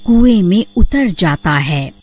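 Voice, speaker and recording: Slow-paced woman narrating a story. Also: mid-range voice, expressive, a little mellow.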